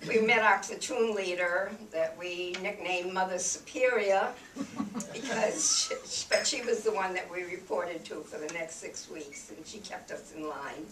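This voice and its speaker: A woman in her eighties from New Hampshire, a veteran telling her wartime memories to an audience, slightly croaky from a cold, wry and unhurried. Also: fairly low pitch, noisy background. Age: 80s. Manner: slow, wry reminiscing with soft laughs and fillers. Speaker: veteran guest speaker. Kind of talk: personal war memories told to an audience. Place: room with an audience. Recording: echoey room from far off with clattering dishes.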